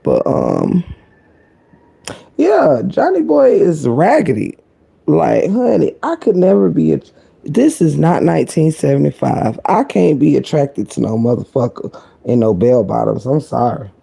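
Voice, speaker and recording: A woman with a deep, croaky voice giving sassy, blunt live-stream gossip commentary, full of cursing and kept low so she stays quiet. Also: huge pitch swings, unhurried. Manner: talking low to keep quiet.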